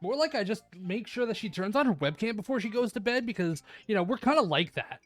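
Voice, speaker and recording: Animated joker reading over music. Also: mid-range voice, fast talker, no room sound. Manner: crude joking, laughs at own ad-libs. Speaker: streamer reading a visual novel aloud. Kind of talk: live comedic read-along with ad-libs.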